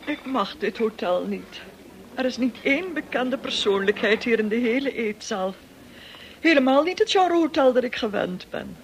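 A woman's voice: fairly high pitch, expressive, moderate pace, slight background noise, rough recording. An actress playing a snobbish, gushing, domineering middle-aged society lady in a radio drama, with a pompous, affected, bossy manner. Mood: complaining.

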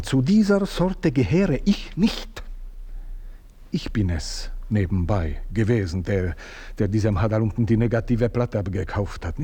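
Cunning servant, played by a man, radio drama; husky, very lively pitch. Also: natural voice. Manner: sly, insinuating, fawning. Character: scheming, cunning male manservant, middle-aged. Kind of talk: radio play acting.

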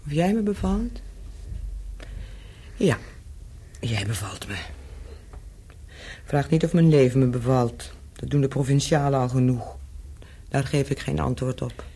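A woman's voice: rumbling low, measured pace, long pauses, mellow, breathy edge, full character voice. An actress in a radio play as a worldly, flirtatious Parisian woman of the night, teasing and nonchalant, with a wry edge. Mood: wry, wary.

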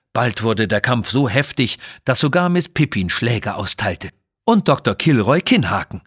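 A man's voice: medium pitch, husky, no echo, clean studio sound. A man narrating a scripted children's adventure story in a dramatic style.

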